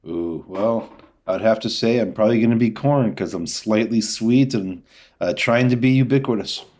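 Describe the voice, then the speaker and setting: A man's voice: on the low side, normal speed, a little mellow. A man talking casually as a guest in a podcast interview.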